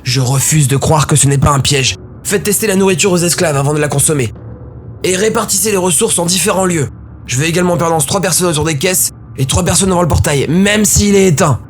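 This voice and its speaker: A man voicing a paranoid, furious dark-elf warlord in an audio story, giving clipped, commanding orders. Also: fairly high pitch, brisk, voice pushed up, close to an everyday voice. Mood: commanding, tense. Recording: music behind the voice.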